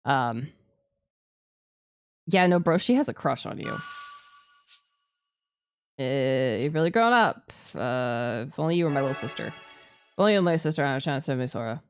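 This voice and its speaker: A woman's voice: very deep voice, expressive, normal speed, long pauses, faint music behind. A woman streamer playing a visual novel live, reading the narration aloud and adding playful, casually sweary asides. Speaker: streamer, virtual YouTuber.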